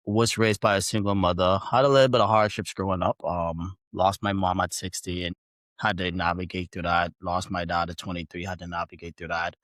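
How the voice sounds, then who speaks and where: A man's voice: low voice, flat delivery, a little fast, fairly bright tone, no echo. A young adult man with a Bahamian accent, a podcast guest telling his story in long, earnest, rambling sentences. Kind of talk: casual interview storytelling.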